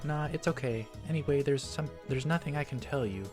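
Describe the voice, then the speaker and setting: A man's voice: medium pitch, a little fast, over music, pitched above the performer's own voice, natural voice. A man voicing a tired, casual young woman who brushes questions off in a visual novel. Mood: weary, offhand.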